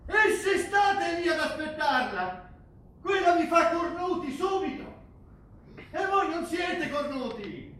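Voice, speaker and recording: A man's voice: very high-pitched, very animated, slow pace, bright, forceful tone, full character voice. An actor playing a coarse, hard-driving rugby coach who harangues his team in a theatrical, foul-mouthed way. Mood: stern, rousing. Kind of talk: theatrical stage acting. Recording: distant, very echoey, faintly noisy stage recording.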